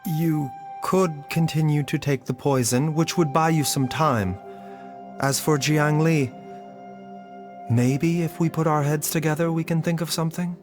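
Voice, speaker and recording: An actor playing a composed, gentle male doctor in a video game, speaking formally and deliberately with grave seriousness. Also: a little high, steady tone, soft background music, natural voice. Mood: considering.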